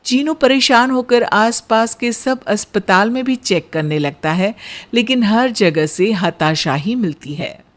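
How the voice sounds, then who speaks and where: A woman's voice: fairly high pitch, animated, unhurried. A woman narrating a scripted cartoon story as a storyteller.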